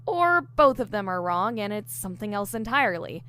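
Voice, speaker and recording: A woman's voice: medium pitch, very animated, normal speed, a bit bright. A woman playing an eager, diligent newly hired investigator in an audio drama, explaining things earnestly. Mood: earnest, speculative. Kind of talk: audio drama dialogue, explaining case theories.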